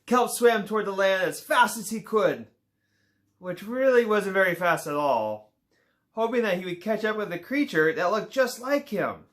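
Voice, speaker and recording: A man reading a children's picture book aloud in a relaxed way, with small slips and playful asides. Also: high voice, moderate pace. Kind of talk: read-aloud children's story.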